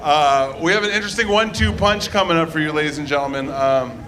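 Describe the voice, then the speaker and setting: A man's voice: on the high side, fast, bright, forceful tone. A man emceeing a comedy show, introducing comics with chatty, joking asides.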